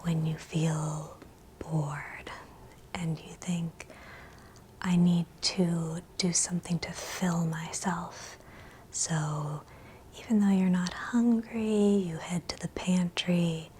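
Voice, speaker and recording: A woman whispering a scripted ASMR guided meditation in a breathy, gentle, soothing way, leaving long pauses between short phrases. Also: fairly low pitch, steady tone, slight background noise.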